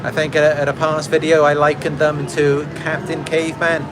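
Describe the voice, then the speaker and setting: A man's voice: fairly high pitch, monotone, moderate pace, noisy background. A Welsh man from Wales, a channel host, reading out viewer comments and replying in a reflective, casual way with fillers and restarts. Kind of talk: casual talk to camera.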